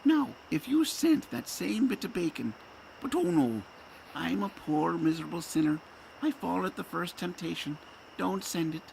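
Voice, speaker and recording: A man's voice: high-pitched, animated, moderate pace, creaky, darkish tone, slight background noise, rough recording. A man playing a pious, easily tempted parish priest, earnest and self-reproaching, in an audio drama. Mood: torn, earnest.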